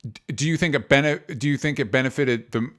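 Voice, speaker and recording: A man's voice: mid-range voice, a little fast, a bit bright. A man co-hosting a movie podcast, giving opinions in a casual, argumentative and exasperated way with some swearing. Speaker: podcast co-host reviewing movies.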